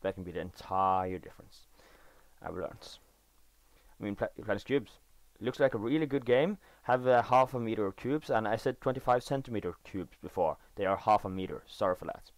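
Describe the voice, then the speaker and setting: A Norwegian teenage boy, speaking English as a second language, giving casual commentary over his own gameplay footage in a halting, self-correcting way. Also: on the low side, dry recording. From Norway. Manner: halting, self-correcting, enthusiastic about game details. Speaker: gaming video creator. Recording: dry voice-over recorded after gameplay.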